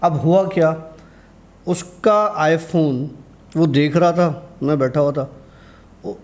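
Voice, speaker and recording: A man's voice: a little high, slow, darkish tone. A man telling a personal horror anecdote in a conversational way, acting out the dialogue as he goes. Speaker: guest storyteller.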